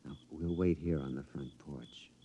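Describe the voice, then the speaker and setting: An actor playing a henpecked husband with a gleeful secret scheme in an old radio drama, excitable, stammering and conspiratorial. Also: male voice, extremely low pitch, normal speed, vocal fry. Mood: conspiratorial, hushed. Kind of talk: mid-century radio drama acting. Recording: dull, noisy vintage radio recording.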